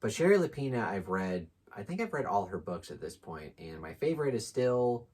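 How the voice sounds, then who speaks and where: A man's voice: lowish voice, sing-song, brisk, darkish tone, slight background noise. A man co-hosting a book channel in casual, unscripted chat, easygoing and joking with frequent asides.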